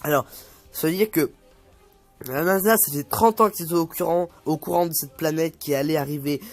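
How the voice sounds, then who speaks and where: A man's voice: on the high side, moderate pace, dry recording, faint music behind. A young man vlogging casually, reading an article aloud and stumbling over words, with many fillers. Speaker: youtuber.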